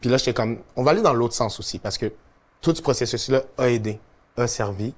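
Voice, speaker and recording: A man's voice: mid-range voice, fairly quick. A young man with a Quebec French accent, a musician chatting casually and reflectively about his career. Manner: relaxed, reflective, many fillers. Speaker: musician guest. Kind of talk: casual conversation.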